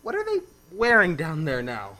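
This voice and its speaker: Man doing a fussy old apprentice angel for a radio play, super polite and eager, bright lively voice. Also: a little high, moderate pace, exaggerated voice.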